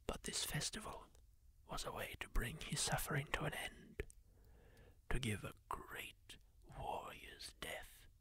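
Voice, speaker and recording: A man voicing a kindly masked healer-warrior, telling tales in a slow, breathy ASMR whisper close to the mic. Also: on the high side, very animated, bright, forceful tone, no echo, full character voice. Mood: hushed. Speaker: ASMR roleplayer. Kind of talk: whispered ASMR roleplay monologue.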